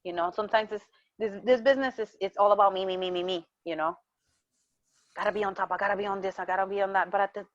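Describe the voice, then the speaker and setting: A woman's voice: mid-range voice, steady tone, fast talker, dry recording. A woman from Mexico with a Mexican accent, talking passionately in a casual interview and often repeating words as she searches for them. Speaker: wrestling champion guest. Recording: dull muffled dry sound.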